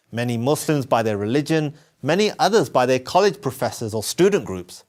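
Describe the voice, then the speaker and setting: Earnest man narrating, lively pitch. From England. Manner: earnest, emphatic storytelling. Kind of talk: scripted first-person narration.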